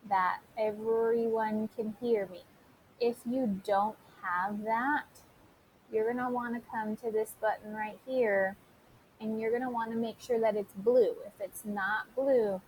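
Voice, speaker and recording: A woman teacher giving an informal software tutorial, talking listeners through it step by step and addressing them directly. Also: medium pitch, a little hiss or hum, poor audio. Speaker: teacher recording a tutorial for students.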